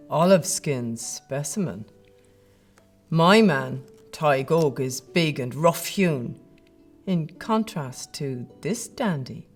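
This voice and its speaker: An actress playing a chieftain's lady in an audio play, arch, playful and haughty. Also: low voice. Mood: sly, appraising. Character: fine Irish chieftain's lady, teasing, imperious, flirtatious.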